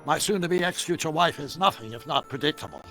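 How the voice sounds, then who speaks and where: An actor playing an excitable, eccentric older scientist in a video game, gravelly and theatrical, with wordy, emphatic delivery. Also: male voice, a little high, fairly quick. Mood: brisk, knowing. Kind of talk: animated video game voice acting. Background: music.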